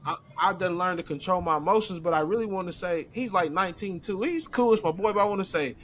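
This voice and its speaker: A man's voice: high voice, fast, a bit bright, slight background noise. A man in his thirties, co-hosting a podcast, telling a work story in a laid-back, slangy way. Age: 30s. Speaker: podcast co-host. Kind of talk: casual chat.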